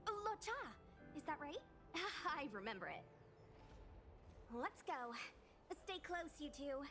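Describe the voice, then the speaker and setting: An eager, scatterbrained young knight girl from a video game. Her voice sits high and she talks in a chatty, informal, emphatic way. Light music plays behind her. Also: big pitch swings, slow pace, a bit bright, exaggerated voice. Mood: cheerful.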